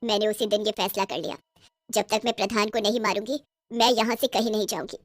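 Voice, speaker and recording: A woman voicing a vengeful, gloating witch in a cartoon horror story, taunting her captives with drawn-out threats. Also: mid-range voice, fairly even intonation, no echo, clean studio sound, natural voice. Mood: bitter, vengeful. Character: vengeful, gloating female witch who runs a factory.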